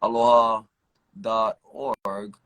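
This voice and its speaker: A man's voice: on the low side, drawn-out words, low-quality recording. A young man from Hawaii with a Hawaiian Pidgin accent, speaking earnestly in a casual chat. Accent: Hawaiian Pidgin English. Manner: earnest, animated speech in Pidgin phrasing. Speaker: guest.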